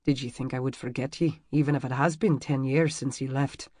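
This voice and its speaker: A narrator voicing a charming, amused Scottish man with a deep, rumbling brogue, speaking softly and teasingly in an audiobook. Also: steady tone, dry recording, studio quality, close to an everyday voice. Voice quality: deep, low rumbling murmur. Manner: soft, teasing and unhurried. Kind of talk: audiobook character voice.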